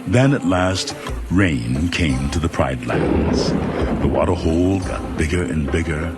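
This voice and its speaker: Deep-voiced, expressive male storybook narrator. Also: measured pace, loud music behind the voice. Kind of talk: scripted children's read-along narration.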